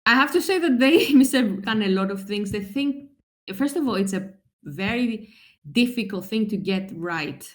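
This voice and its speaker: A woman from Greece in her thirties, a guest in an interview talking about her work, animated and chatty, with fillers, restarts and frequent laughs. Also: a little high, moderate pace. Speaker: guest, translator and travel writer. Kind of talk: conversational interview.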